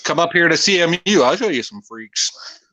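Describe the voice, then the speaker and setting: A man hosting a stream, bantering loudly with crude, teasing jokes. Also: a little high, animated, moderate pace, no echo. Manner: loud, profane, teasing banter. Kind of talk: casual chat.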